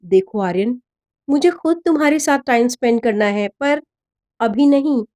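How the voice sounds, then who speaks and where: A woman's voice: a little high, expressive, moderate pace, darkish tone, close to an everyday voice. A woman narrator voicing an anxious, loving teenage schoolgirl in a story. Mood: hesitant, pleading. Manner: earnest, affectionate.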